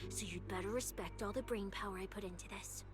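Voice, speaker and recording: A woman's voice: mid-range voice, fairly quick, faint music behind, natural voice. A woman voicing a cocky, teasing young snake-woman case specialist who talks in a playful, bossy way, in a game dub.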